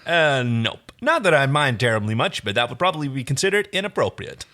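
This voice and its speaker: A man's voice: mid-range voice, sing-song, brisk, sharp tone. A man voicing a cheeky, self-assured human astronaut with a breezy joking manner in a story reading. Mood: amused.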